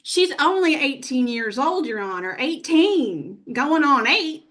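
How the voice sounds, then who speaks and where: A woman's voice: sits high, big pitch swings, takes it slowly, fairly bright tone, exaggerated voice. A woman playing a folksy, protective mother who scolds, performed in a live comedy table read. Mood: pleading.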